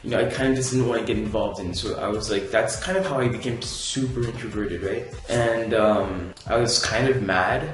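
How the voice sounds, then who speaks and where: A man's voice: lowish voice, fairly even intonation, normal speed, hardly any pauses, faint music behind. Laid-back young man in echoey room. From Canada. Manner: rambling, filler-heavy, laid-back. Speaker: vlogger. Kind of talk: casual storytelling vlog.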